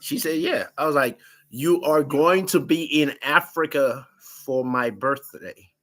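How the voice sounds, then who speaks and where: Man, big loud voice, animated storytelling chat. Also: on the high side, unhurried. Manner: animated, laughing, quotes himself in raised voice. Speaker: dating show guest.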